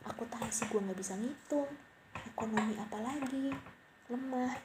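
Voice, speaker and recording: A woman's voice: fairly high pitch, moderate pace. A young woman rambling casually and mocking herself, sometimes dropping to a whispery aside. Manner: rambling, self-mocking, slangy. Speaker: podcaster. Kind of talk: casual late-night monologue. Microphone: cheap home mic. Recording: noisy home mic recording.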